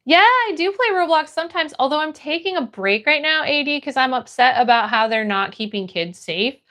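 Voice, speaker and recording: A woman with a notably high voice and an American accent hosts a casual livestream. She talks in a friendly, encouraging way as she chats to viewers and reads their comments. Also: lively intonation, moderate pace, pushed and bright. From United States. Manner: friendly, encouraging, greets people by name. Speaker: livestream host. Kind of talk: casual livestream chat, greeting viewers and reading comments aloud.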